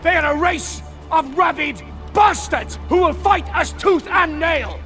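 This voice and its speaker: High, roaring Roman officer. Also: male voice, a little slow, pushed and bright, soft background music, exaggerated voice. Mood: grim, scornful. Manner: declamatory, barking, rousing oratory. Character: fierce Roman officer rallying his legionaries, proud and brutal. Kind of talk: cinematic game drama acting.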